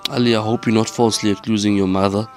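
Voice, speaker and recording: A man playing a stern, measured sheikh questioning a suspect in an audio drama. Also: on the low side, darkish tone, natural voice.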